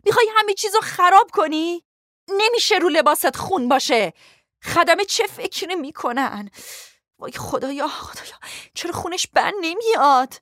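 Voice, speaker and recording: A woman's voice: on the high side, a little mellow, dry recording, clean studio sound, lightly acted. A woman voicing a bossy, desperate and injured young woman in an audiobook story, snappish and strained. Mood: furious, panicked. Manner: snappish, strained through gritted teeth.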